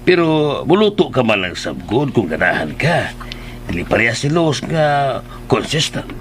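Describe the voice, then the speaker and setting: An actor playing an easygoing, affectionate middle-aged father and husband in a radio drama, with expressive delivery, a Filipino accent and English phrases mixed in. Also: medium pitch, normal speed, close to an everyday voice. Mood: casual, teasing.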